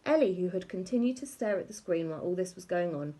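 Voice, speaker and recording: A woman narrating a children's book aloud in an expressive scripted storytelling style. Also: lowish voice, fast. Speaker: audiobook reader.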